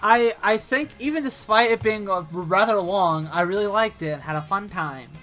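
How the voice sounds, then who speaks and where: A man co-hosting a casual podcast, lively and stumbling over his words at times. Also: high voice, moderate pace, faint music behind. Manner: lively, hesitant restarts, swears casually. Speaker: podcast co-host. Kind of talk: casual chat.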